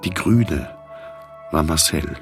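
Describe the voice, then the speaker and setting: Slow, low-voiced man narrating a novel. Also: animated, over music. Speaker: audiobook narrator. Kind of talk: scripted literary narration.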